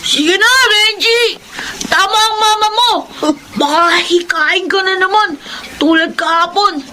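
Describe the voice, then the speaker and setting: A woman's voice: very high voice, measured pace, pushed and bright, a little hiss or hum, heavily acted. An actress with a Filipino accent playing an emotional young mother in an audio drama. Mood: gentle, fussing. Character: Sakura, heartbroken young woman, later gentle fussing mother.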